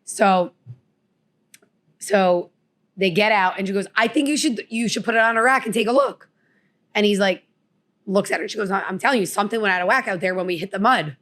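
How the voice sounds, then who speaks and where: A woman's voice: medium pitch, a little fast, long pauses, fairly bright tone. A woman from New Jersey with a New Jersey accent, co-hosting a podcast and telling a story in casual chat, acting out the voices she quotes. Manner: chatty, acts out quotes. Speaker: podcast co-host.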